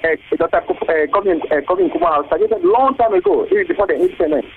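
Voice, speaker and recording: A man's voice: sits high, fast talker, a little hiss or hum. A man with a Ghanaian English accent, a teachers' association official interviewed on radio, talking hesitantly with many fillers and repeated words. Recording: tinny phone line.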